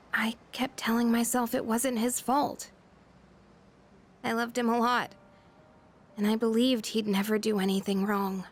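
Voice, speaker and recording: A woman's voice: fairly high pitch, gravelly, slight background noise. An American woman voicing an emotional, bitter, grieving teenage schoolgirl in a video game. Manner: emotional, vulnerable, confiding. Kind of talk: English video game voice acting.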